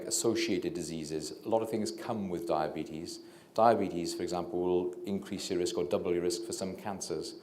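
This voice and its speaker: A middle-aged Welsh man, a physician giving a public talk into a handheld mic, hesitant with many fillers and restarts, and a self-described low talker. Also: a little mellow, slight background noise. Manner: low-talking. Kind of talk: public lecture. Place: lecture room with audience. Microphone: handheld microphone. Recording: echoey lecture room.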